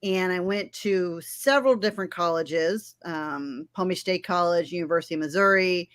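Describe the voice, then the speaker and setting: A woman in her fifties from Florida, a legal aid attorney, answering interview questions in a friendly, measured way with frequent ums. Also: lowish voice. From Florida, United States. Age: 50s.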